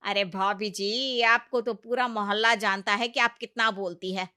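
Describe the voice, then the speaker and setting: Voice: medium pitch, fairly even intonation, a little slow, sharp tone, clear, resonant tone. A performer voicing a village council elder in a Hindi cartoon story, earnest, blunt and authoritative.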